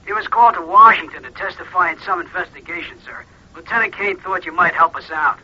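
An actor playing a dutiful police officer reporting a homicide in a 1940s radio drama, brisk and formal. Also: male voice, sits high, sharp tone, husky, full character voice. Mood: businesslike. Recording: dull old radio transcription.

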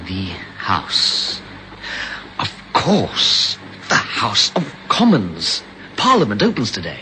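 A deep-voiced resolute hero. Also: male voice, huge pitch swings, slow pace, bright, forceful tone, slight background noise, heavily acted, rough recording. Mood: urgent. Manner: clipped, urgent, commanding. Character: resolute male hero and first-person narrator. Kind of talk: 1950s radio drama acting.

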